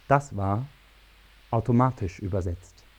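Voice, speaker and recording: A man's voice: fairly low pitch, a little slow, breathy edge. An actor playing a mechanical male translator in a radio drama, reciting stiff literal translations. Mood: mechanical recitation. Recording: dull band-limited sound with music. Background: music.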